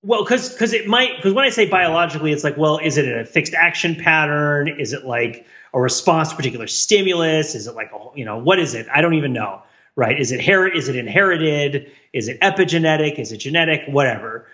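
A man's voice: on the high side, a little fast, highs cut off. A man co-hosting a podcast in casual discussion, talking in a rambling, wry way and often questioning his own points. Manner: rambling, self-questioning, wryly animated argument. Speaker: podcast co-host.

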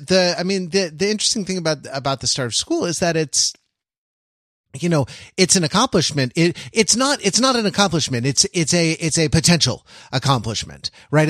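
A man in his forties chatting casually and reflectively as a podcast panelist, in a halting, rambling, wry storytelling manner. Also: on the high side, fairly bright tone, no room sound, studio quality. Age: 40s.

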